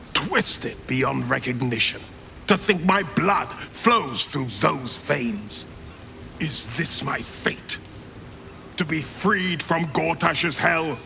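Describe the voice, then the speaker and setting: A man's voice: on the high side, gravelly, bright, forceful tone, full character voice. An actor playing a proud, anguished older grand duke and father, with solemn, formal delivery in a fantasy game. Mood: bitter, accusing. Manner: solemn, formal, theatrical oratory. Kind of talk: dramatic fantasy game acting.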